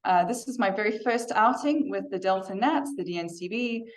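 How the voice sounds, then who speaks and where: A woman's voice: mid-range voice, animated, moderate pace. A woman from Africa, giving a chatty, self-deprecating club presentation in a meeting room. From South Africa. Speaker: guest presenter. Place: meeting room with a hybrid video call.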